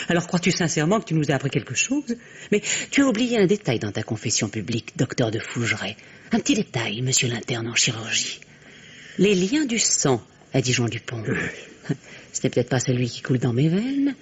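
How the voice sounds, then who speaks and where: A woman's voice: very deep voice, sing-song, moderate pace, breathy edge, exaggerated voice. A middle-aged woman playing a bitter, mocking wife in a theatrical French radio drama style. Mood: mocking, sarcastic. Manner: sarcastic, theatrical.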